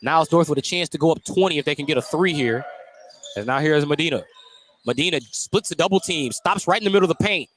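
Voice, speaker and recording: Higher-voiced man calling basketball, noisy background. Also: fast talker, a bit bright. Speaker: play-by-play sports commentator. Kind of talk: live basketball radio commentary. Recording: clear background noise, live gym broadcast.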